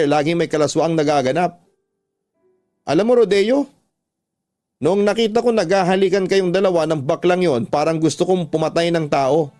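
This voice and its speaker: A man's voice: fairly high pitch, darkish tone, pitched above the performer's own voice, close to an everyday voice. A man voicing a jealous, hurt young boyfriend in an accusing, emotional radio drama style. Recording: music under the voice.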